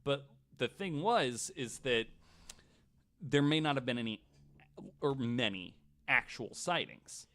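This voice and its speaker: A man hosting a podcast, telling stories casually in a halting, conversational way. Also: mid-range voice, expressive, fairly bright tone. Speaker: podcast host. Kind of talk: casual storytelling and chat.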